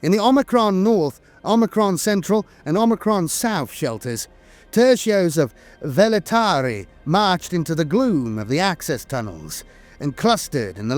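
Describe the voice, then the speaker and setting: Higher-pitched man narrating lore, lively intonation. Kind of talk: scripted documentary-style lore narration. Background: music.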